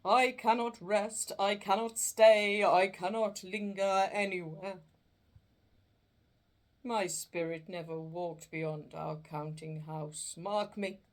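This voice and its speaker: A woman voicing a doomed, chained male ghost, speaking slowly and solemnly in a storybook reading. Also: steady tone, close to an everyday voice. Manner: slow, booming and drawn out.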